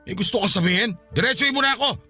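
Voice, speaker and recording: A man's voice: sits high, very fast, pushed and bright, slightly rough, soft background music, heavily acted. A man acting a short-tempered, defensive, drinking Filipino father in a radio drama, with a blustering, indignant manner. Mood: angry, defensive.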